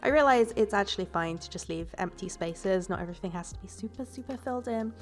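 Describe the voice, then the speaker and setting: A woman's voice: mid-range voice, fast talker, soft background music. A woman with a stuffy voice from a cold, rambling casually through a voice-over about her build, with fillers and self-corrections. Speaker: game builder and YouTuber. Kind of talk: relaxed voice-over commentary on a building video.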